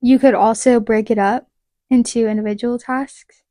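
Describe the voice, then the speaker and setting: A woman's voice: a little high, fairly even intonation, moderate pace, dark tone, no echo. A young woman co-hosting a podcast in casual chat, chatty and steering the talk toward practical tips. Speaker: podcast co-host.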